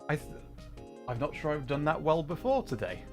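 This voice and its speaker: A man livestreaming a video game, chatting casually with viewers and explaining the play in an excitable way. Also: fairly high pitch, fairly quick. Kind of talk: casual live gaming commentary, chatting with viewers. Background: video game music.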